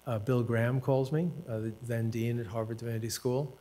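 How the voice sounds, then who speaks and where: A man in his seventies from the United States, an author and professor telling stories of his life at a panel talk, unhurried and self-deprecating, with dry humor and hesitant fillers. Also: medium pitch, steady tone, dark tone. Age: 70s. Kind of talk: reflective personal storytelling at a panel talk.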